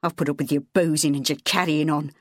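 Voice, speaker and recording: A woman voicing a drunk, bitter working-class father who rants and yells. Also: low-pitched, steady tone, a little fast, no room sound, studio quality. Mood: bitter ranting. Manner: yelling, slurring, coarse.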